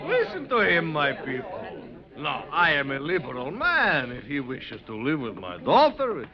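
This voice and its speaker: High, gravelly, blustering old-world father. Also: huge pitch swings, normal speed. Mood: scornful, boisterous. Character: blustering, theatrical foreign father of the bride. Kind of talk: old-time radio western acting. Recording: muffled gravelly vintage radio, crowd behind.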